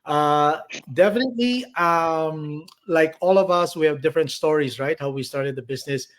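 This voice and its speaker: The fairly high-voiced Filipino man you would hear as the guest coach on an online business podcast, pausing to find his words and checking in with the listener. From Philippines. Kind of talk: conversational interview answers.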